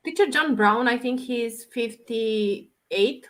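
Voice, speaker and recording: A woman's voice: a little high, takes it slowly, crowd noise. A woman in her thirties hosting a casual chat, giggling often and asking questions. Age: 30s. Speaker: talk show host.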